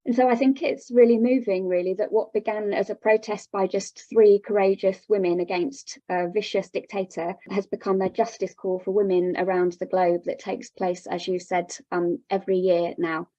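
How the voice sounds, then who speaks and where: A woman's voice: medium pitch, steady tone, normal speed, hardly any pauses, warm tone, dry recording, lo-fi sound. A woman speaking as a radio guest, giving an explanatory answer in an interview.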